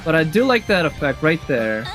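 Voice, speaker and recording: A man with a slightly high voice comments casually on what he is watching, in the way of an online video commentator. He talks off the cuff and rambles as he works through his thoughts. Music plays behind him. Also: very animated, brisk, fairly bright tone. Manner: rambling, thinking aloud. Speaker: video commentator ranking game trailers. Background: music from trailers playing.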